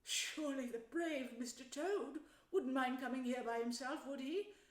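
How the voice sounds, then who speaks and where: Lady doing tiny scared mole from a kids story, high weak pleading voice, bit echoey. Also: unhurried. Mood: hopeful. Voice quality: feeble voice. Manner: plaintive, apologetic. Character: frightened, exhausted small male mole, meek and polite.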